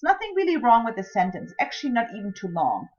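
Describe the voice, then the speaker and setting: A woman's voice: on the high side, huge pitch swings, fast talker, a little mellow, muffled recording. A woman whose first language is German, teaching scientific writing in a chatty recorded lecture full of ums and self-deprecating asides. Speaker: writing instructor.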